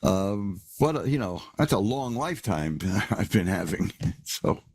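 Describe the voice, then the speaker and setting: Low raspy older man hosting live radio. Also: big pitch swings, a little slow, darkish tone, no echo, clean studio sound. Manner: rambling, repeats words, wry asides. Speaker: radio show host. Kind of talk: casual live radio monologue. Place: New York.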